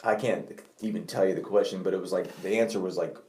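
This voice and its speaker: A man's voice: sits low, fast. Young man in an echoey room, joking. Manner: joking, animated storytelling. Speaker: podcast co-host. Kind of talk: casual chat.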